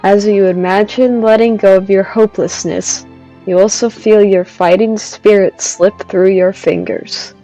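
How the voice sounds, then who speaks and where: A woman streaming a video game, reading dialogue aloud carefully and deliberately through a dull lo-fi microphone. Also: mid-range voice, fairly even intonation, mellow, soft background music.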